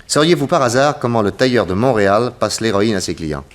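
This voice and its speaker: An actor playing a calm, terse detective hero in a 1960s French radio serial. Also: male voice, lowish voice, a little fast, natural voice. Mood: relieved, cool. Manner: cool, unflappable, terse. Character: calm, laconic male detective hero undercover. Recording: noisy old radio recording.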